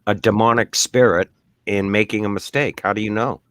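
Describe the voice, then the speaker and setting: A man's voice: lowish voice, steady tone, moderate pace, a bit bright, smooth. Man pressing the same question. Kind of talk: casual debate chat.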